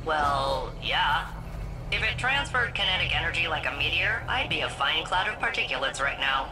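A woman's voice: low-pitched, lively intonation, moderate pace, poor audio. An actress voicing a quirky, cheerful female ship AI in a video game, with a chirpy, playful delivery. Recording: game audio with loud music.

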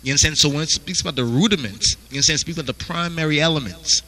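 A man's voice: fairly high pitch, racing, sharp tone, rough recording. A man teaching a Bible study, reading verses aloud and asking "you understand?" after nearly every phrase. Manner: repeats "you understand?" constantly.

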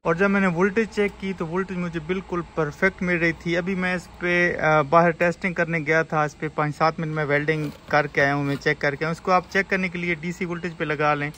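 Bright-voiced man, fairly high pitch. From Pakistan. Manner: explanatory, step by step. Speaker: repair technician and video host. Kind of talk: informal hands-on repair tutorial. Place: indoor repair workshop. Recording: clear background noise in a workshop.

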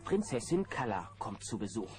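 Ein Mann als Erzähler, der eine Abenteuergeschichte für Kinder vom Manuskript vorträgt. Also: male voice, on the low side, very animated, moderate pace, over music. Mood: dramatic narrating. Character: storyteller of a children's adventure. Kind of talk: scripted narration. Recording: noisy old cassette recording.

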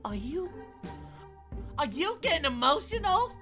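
A woman's voice: very high-pitched, very animated, measured pace, fairly bright tone, exaggerated voice. A woman voicing a flirty, crude male spider demon in a fan comic dub, talking in a teasing way. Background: background music.

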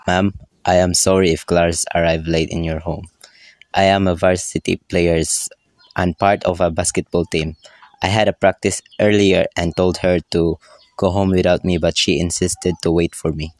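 A man's voice: low voice, level tone, moderate pace, darkish tone, no room sound. A man playing a polite, apologetic young varsity athlete boyfriend in an amateur radio drama.

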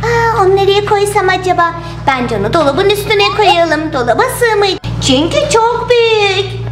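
Woman voicing a very high-pitched playful little girl. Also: full character voice. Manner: exclamatory, childlike, explaining each step. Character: cheerful little girl hiding her sweets, playful and crafty. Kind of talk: children's toy play narration. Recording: roomy voice over loud music.

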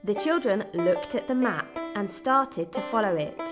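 A woman's voice: medium pitch, expressive, a little slow. A woman narrating a scripted children's story as the narrator. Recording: loud music behind the voice.

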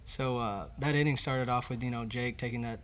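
A man's voice: mid-range voice, steady tone, a little fast, a bit bright. A young man, a college baseball player, earnestly answering questions about his team at a press conference. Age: young adult. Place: indoor press conference room. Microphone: table microphone.

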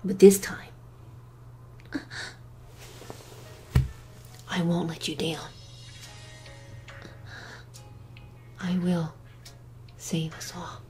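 A woman voicing a devoted, protective young male cat superhero in an audio drama, with earnest, tender, halting delivery. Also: lowish voice, flat delivery, dark tone, close to an everyday voice. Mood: determined, tender. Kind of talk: fan audio drama acting. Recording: music under the voice.

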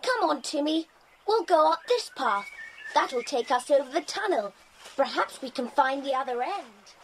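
A woman's voice: high voice, animated, moderate pace, rough recording. An actress with an English accent playing a plucky, determined tomboy girl in a children's audio drama. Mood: curious, determined. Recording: hissy 1990s cassette tape.

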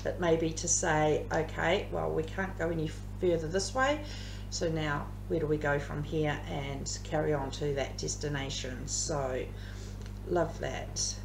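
Chatty Australian woman with a croaky voice. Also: deep voice, unhurried. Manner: chatty, rambling, with many ums. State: slightly croaky voice. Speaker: tarot reader. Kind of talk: casual tarot card reading. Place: living room at home. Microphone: camera across the table. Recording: echoey room with background hum.

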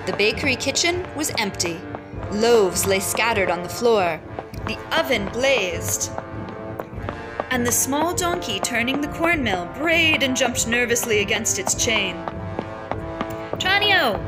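A woman narrates a children's story with a very lively, slightly high voice. She reads expressively and dramatically. Loud music plays beneath her. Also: a little slow. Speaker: storyteller reading a children's book aloud. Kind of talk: expressive picture-book read-aloud narration. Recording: loud music and crowd sounds underneath. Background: music and crowd noise.

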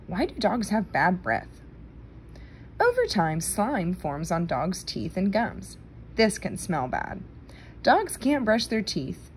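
A woman reading a children's nonfiction book aloud in a careful, deliberate manner. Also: medium pitch, a little mellow, a little hiss or hum. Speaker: read-aloud reader.

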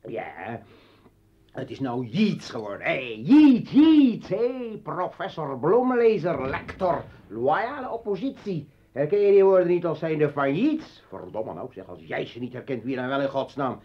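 Slow, sardonic revolutionary poet. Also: male voice, on the high side, big pitch swings, husky, full character voice. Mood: taunting. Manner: mocking, theatrical. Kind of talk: Dutch radio drama acting. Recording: muffled old radio play recording.

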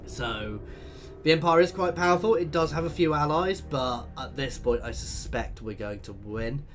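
Male let's-player with a head cold, casual thinking-aloud commentary at a mid pitch. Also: normal speed, soft background music. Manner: chatty, thinking aloud as he plays. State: congested from a cold. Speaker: let's play host. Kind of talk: strategy game commentary.

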